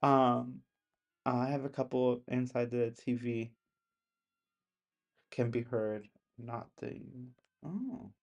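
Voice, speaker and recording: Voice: medium pitch, slow pace, pauses often, a little mellow, highs cut off. A person in their thirties chatting casually with live-stream viewers from home in the countryside, rambling and laughing often. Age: 30s.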